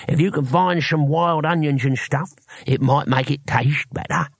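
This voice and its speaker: A grizzled old barbarian speaks in a creaky, raspy mumble. He is blunt and dry, with slurred, toothless diction and homely colloquial phrasing. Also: on the high side, fairly even intonation, moderate pace, dry recording. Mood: dry, insistent.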